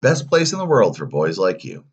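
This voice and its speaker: Man acting slick storybook villain coachman, coaxing patter, wildly swinging pitch, husky edge, dulled sound. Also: huge pitch swings, moderate pace, heavily acted. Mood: coaxing, enticing. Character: slick, pushy male coachman tempting children.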